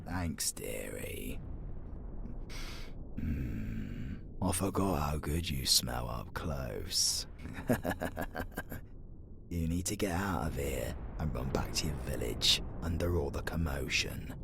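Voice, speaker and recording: A man with a British accent playing a gruff, loyal young demon warrior, wounded and protective, with rough colloquial speech, in a fantasy audio drama. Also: extremely low pitch, animated, takes it slowly, pauses often, background noise, full character voice. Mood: hushed, tender. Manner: rough colloquial speech, calls listener dearie. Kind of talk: fantasy audio roleplay acting, intimate ASMR.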